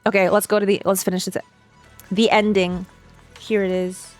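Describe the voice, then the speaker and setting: Chatty girl streamer doing casual game reactions, mid pitch, bit of music in the back. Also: level tone, moderate pace. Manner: chatty, thinks aloud, repeats herself.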